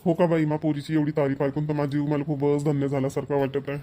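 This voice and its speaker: Doting village father, slightly high-pitched played by a man. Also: monotone, fast, mellow, natural voice. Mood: proud, relieved. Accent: rural Maharashtrian Marathi. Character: doting middle-aged village father, mild and proud. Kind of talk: Marathi cartoon family comedy voice-over.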